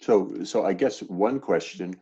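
Male meetup participant, lowish husky voice, polite conversational questions, muffled video-call sound. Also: measured pace, dark tone. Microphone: video call microphone. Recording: dull, muffled lo-fi online call.

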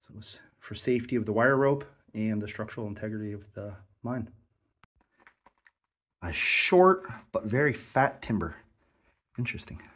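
A man's voice: fairly low pitch, lively intonation, moderate pace, pauses often, a bit bright, breathy edge. A man narrating his own exploration of a cramped abandoned mine, enthusiastic and explaining what he sees as he goes.

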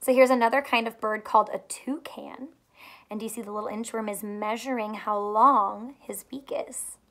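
A woman's voice: a little high, steady tone, normal speed, fairly bright tone. A woman in her thirties reading a picture book aloud as a teacher, explaining simply and posing questions to young listeners. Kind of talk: children's story read-aloud with simple teaching. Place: small furnished room at home, indoors.

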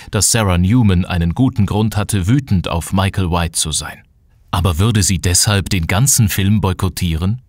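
A man's voice: lowish voice, darkish tone, dry recording, studio quality. A man narrating a children's audio drama as its storyteller.

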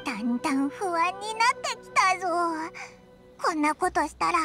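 A woman voicing a small childlike fairy companion in an anime game, with a squeaky voice and blunt, boyish phrasing. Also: big pitch swings, normal speed, exaggerated voice. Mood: worried. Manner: blunt, boyish sentence endings. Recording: loud background music. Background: music.

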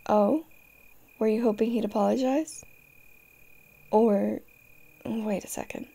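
A woman's voice: on the high side, fairly even intonation, slow, gravelly, dark tone, full character voice. A woman playing a tough, fiercely protective young girlfriend in an audio roleplay, speaking directly to the listener. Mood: tender, protective.

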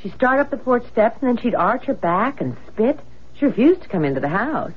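A woman's voice: fairly high pitch, sing-song, a little fast, breathy edge. An actress playing a fretful, affectionate young wife and mother in a radio drama, with an anxious, questioning manner. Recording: dull lo-fi radio broadcast, faint noise.

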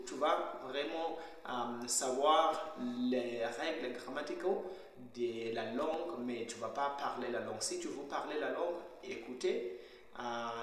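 A young man from Zimbabwe whose first language is Shona, talking casually to his laptop camera in a bare kitchen, pausing often to search for words. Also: mid-range voice. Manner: hesitant, searching for words with frequent pauses. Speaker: language learner vlogger. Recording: very echoey bare room with faint hum.

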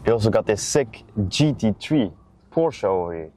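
A man's voice: lowish voice, animated, normal speed, mellow. A man, chatting casually as a car vlogger while driving. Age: 20s. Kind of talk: casual vlog chat. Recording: clear noise, car and road sound.

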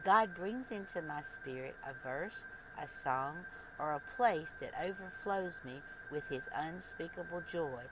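An older woman earnestly reading a scripted devotional in a deliberate way. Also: sits low, expressive. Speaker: devotional host. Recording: clearly noisy recording with ambient sound.

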